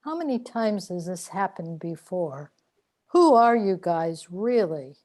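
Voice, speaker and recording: A woman's voice: fairly low pitch, sing-song, slow pace, darkish tone. A woman playing a weary, plaintive immortal seeker in a sci-fi radio drama. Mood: questioning. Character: weary immortal seeker trapped in repeating lives.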